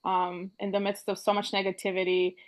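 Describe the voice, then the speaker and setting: A woman's voice: medium pitch, monotone, fairly quick. A woman from Bulgaria interviewing a filmmaker in a friendly, chatty way with many "like"s. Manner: friendly, lots of "like" and "you know". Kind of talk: casual interview talk.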